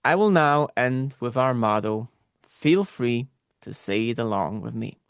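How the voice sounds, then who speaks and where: A man's voice: mid-range voice, animated, unhurried, creaky, clean tone, no echo, clean studio sound. A man hosting a support group meeting over a video call, talking casually and stuttering, with repeated sounds and restarts.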